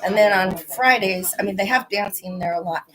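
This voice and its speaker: A woman guest chatting casually in a rambling, halting way, her voice a bit hoarse. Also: medium pitch, fairly bright tone, low-quality recording. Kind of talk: casual chat.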